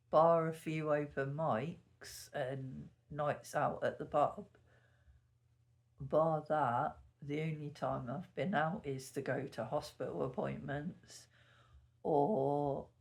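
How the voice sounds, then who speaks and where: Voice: medium pitch, gravelly. A YouTuber chatting casually to the camera at home early in the morning, meandering and self-correcting, a bit sniffly. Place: sitting at home indoors. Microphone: camera mic.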